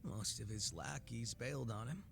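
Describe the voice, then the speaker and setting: An actor playing a laid-back, sly gangster boss with a soft heart in a video game, speaking in a casual, teasing drawl. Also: male voice, medium pitch, moderate pace, gravelly, music in the background, natural voice. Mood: casual, wry. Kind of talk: video game cutscene acting.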